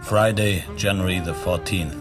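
An actor playing the narrator of a 1970s detective radio play, measured and factual. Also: male voice, deep voice, fairly even intonation, close to an everyday voice. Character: male narrator of a detective story, reading descriptions and lists. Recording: 1970s radio production, prominent music behind.

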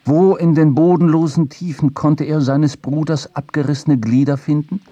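A man narrating a literary audio drama with a husky voice, recorded in a studio. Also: on the high side, steady tone, moderate pace, mellow, muffled recording, a little hiss or hum. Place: dry studio.

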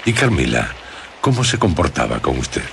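An actor playing a grave, formal interlocutor in a radio drama, probing politely. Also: male voice, rumbling low, very animated, normal speed, creaky, a bit bright, a little hiss or hum, exaggerated voice. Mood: grave, inquisitive. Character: grave, formal man questioning Laura about her past.

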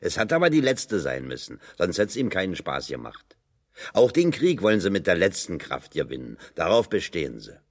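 A man's voice: deep voice, huge pitch swings, normal speed, exaggerated voice. An actor playing a sardonic, dry-witted working man in German radio drama dialogue, speaking colloquially and ironically. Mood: dryly ironic.

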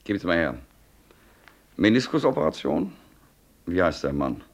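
A gravelly, deep, curt official. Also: male voice, sing-song, moderate pace, exaggerated voice. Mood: officious. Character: curt, officious chief inspector.

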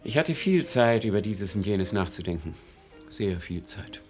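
An actor playing an earnest, brooding son of a rich house in a 1950s German radio drama, grave and probing. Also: male voice, on the low side, lively intonation, moderate pace, soft background music, natural voice.

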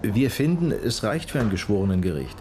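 An actor playing a sober, persistent police detective in a radio drama, questioning people matter-of-factly. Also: male voice, fairly low pitch, very animated, a little fast, warm tone, slight background noise.

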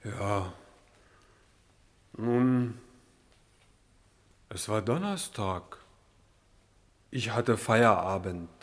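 A placid, slow-witted porter on trial in a radio satire. He talks at a crawl in a lowish voice, bewildered and matter-of-fact, with long hall reverb around him. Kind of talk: satirical radio drama acting.

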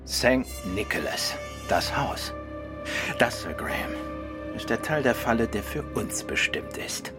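A man playing a shrewd, composed detective in a German radio drama, speaking in a measured, analytical way. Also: medium pitch, very animated, over loud music. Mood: confident, knowing. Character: shrewd, composed male amateur detective, husband.

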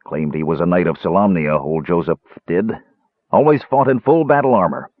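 A man acts out a crusty old dwarf from a fantasy storybook. The voice is rough and husky, snappish and full of scorn, with folksy grammar and swooping emphasis. It comes through a narrow, phone-like band. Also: deep voice, expressive, normal speed, no echo. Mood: wistful grumbling. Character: gruff, cantankerous old male dwarf arena keeper.

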